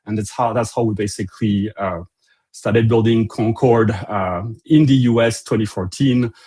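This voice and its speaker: A man's voice: lowish voice, steady tone, normal speed, dark tone, slightly rough. A middle-aged man with a French accent presenting a company webinar in a loose, conversational way, with frequent fillers and restarts. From France. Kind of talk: presentation to an online audience.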